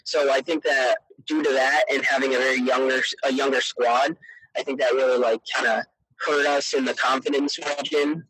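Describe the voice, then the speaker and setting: Teen male athlete guest, husky unpolished voice, earnest, halting casual interview answers. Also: medium pitch, little pitch movement, a bit bright, no room sound, rough recording. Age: teenager. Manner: hesitant, earnest, peppered with "you know".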